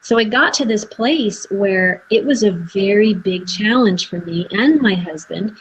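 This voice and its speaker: A woman's voice: mid-range voice, a little slow, breathy edge, poor audio. A woman hosting a live video chat, telling her own story earnestly with fillers and restarts. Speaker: host. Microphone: video call.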